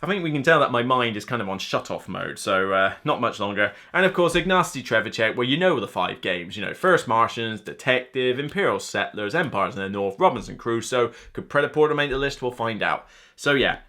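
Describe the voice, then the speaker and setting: A man in his thirties with a bright, forward voice at an ordinary male pitch. He rambles casually to camera like a hobby reviewer, chatty and quick to poke fun at himself. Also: animated. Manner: chatty, rambling, self-deprecating. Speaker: board game reviewer and video host. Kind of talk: casual unscripted vlog commentary to camera. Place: small room lined with board game shelves, indoors.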